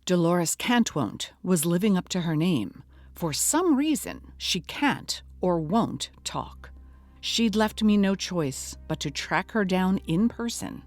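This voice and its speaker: A woman's voice: lowish voice, sing-song, slow pace, no room sound. A woman playing a dogged, earnest podcast host in a scripted mock documentary, with a dramatic delivery. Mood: earnest, intrigued.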